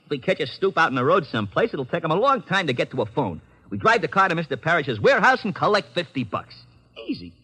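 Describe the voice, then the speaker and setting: An actor playing a cocky, hot-tempered young American gang leader with slangy street-tough talk in an old radio crime drama. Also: male voice, fairly high pitch, very animated, fast talker, bright, forceful tone, slightly rough, full character voice. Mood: bossy, cocky.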